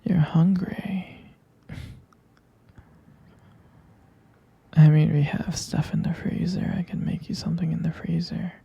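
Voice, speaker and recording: A man playing a tender, doting boyfriend in a whispered ASMR roleplay, husky and breathy, speaking gently and hesitantly close to the mic. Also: a little high, little pitch movement, moderate pace, highs cut off, exaggerated voice. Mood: gently caring. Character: tender doting young boyfriend soothing his sweetheart to sleep.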